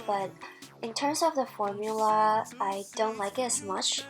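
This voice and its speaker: A young woman in her twenties with a Chinese accent, narrating a makeup tutorial in a soft, chatty and slightly self-conscious way. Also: fairly high pitch. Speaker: beauty vlogger. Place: small bright room.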